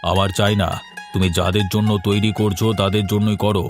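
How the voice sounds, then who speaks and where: Man acting a grumbling low-voiced village husband. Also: level tone, a little fast, soft background music, natural voice. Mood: sulky. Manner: grumbling, dismissive. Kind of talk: Bengali fairy-tale cartoon dialogue.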